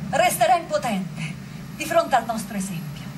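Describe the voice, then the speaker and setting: A woman's voice: sits high, big pitch swings, moderate pace, heavy background noise. A woman playing an impassioned Roman revolutionary recalling the defenders, in a fervent, declamatory stage style. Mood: defiant, fervent. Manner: fervent, declamatory, rising to shouts and chant. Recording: echoey distant stage recording, loud music.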